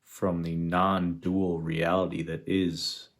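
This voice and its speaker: A man leading a guided meditation, speaking in an unhurried, reflective way with long pauses between phrases. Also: low-pitched, flat delivery. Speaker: meditation guide, spiritual teacher. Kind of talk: guided meditation, contemplative teaching.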